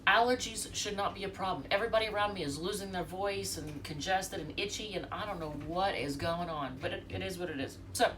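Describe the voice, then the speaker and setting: A woman's voice: lowish voice, a little fast, few pauses, recorded from a distance, noisy background. A congested woman from the United States doing a casual, chatty tarot reading and talking straight to her viewers. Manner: chatty, rambling, talks to viewers directly. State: congested from allergies. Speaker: tarot reader.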